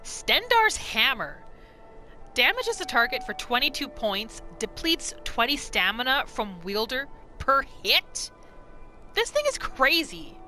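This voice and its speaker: Female gamer, casual commentary, animated pitch swings, bright tone, expressive, soft music behind. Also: slow pace. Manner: expressive, exclamatory. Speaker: gamer, roleplay host.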